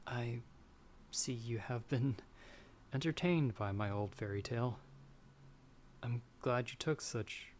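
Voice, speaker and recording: Man acting a slow-spoken, timid young traveler. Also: medium pitch, close to an everyday voice. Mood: curious, uneasy. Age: young adult. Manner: soft, hesitant, stammering when afraid. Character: timid young male traveler, storyteller trapped by a vampire. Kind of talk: ASMR audio roleplay acting. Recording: background storm noise.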